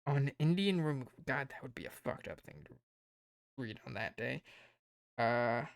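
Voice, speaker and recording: A man streaming casually, rambling and thinking aloud as he plans, his voice tired and croaky. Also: medium pitch, expressive, unhurried, dry recording, studio quality. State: hoarse, tired voice. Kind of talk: casual livestream chat.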